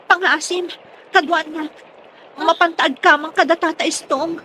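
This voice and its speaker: Shrill, anxious daughter. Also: female voice, fairly even intonation, a little slow, pushed and bright, a little hiss or hum, heavily acted. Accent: Ilocano. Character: anxious daughter fretting over her missing father. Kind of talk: radio drama acting.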